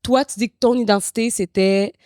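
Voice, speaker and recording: A woman's voice: a little high, fairly even intonation, fairly quick, a little mellow, no echo, studio quality. A woman with a Québécois French accent, interviewing a guest in a casual conversational way as podcast host. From Quebec region, Haitian-born adoptee.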